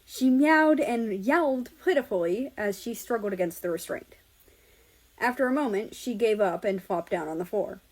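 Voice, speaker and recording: A woman's voice: medium pitch, moderate pace, a little mellow, low-quality recording. Woman reading a story aloud. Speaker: audiobook-style reader of fan fiction. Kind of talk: narrated story reading, amateur.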